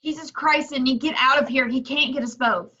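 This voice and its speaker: A woman's voice: high-pitched, fairly even intonation, a little fast, sharp tone, husky, muffled recording, full character voice. A woman playing a panicked, urgent woman in an amateur reading of a horror film script.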